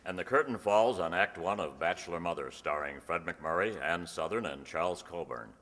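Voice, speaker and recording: Male old time radio announcer, deep punchy voice, reading script, echoey hall. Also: animated, brisk, background noise. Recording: echoey hall with long reverb.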